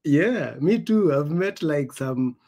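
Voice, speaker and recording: A man's voice: fairly high pitch, animated, takes it slowly, dark tone. Kenyan-accented man telling stories casually. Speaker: podcast host. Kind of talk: casual conversational storytelling.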